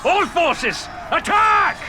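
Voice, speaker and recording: An actor playing an imperial military officer in a video game, urgent and forceful. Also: male voice, very high-pitched, very animated, extremely slow, over music, a crowd in the background, heavily acted. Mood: barking orders. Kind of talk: English video game cutscene acting.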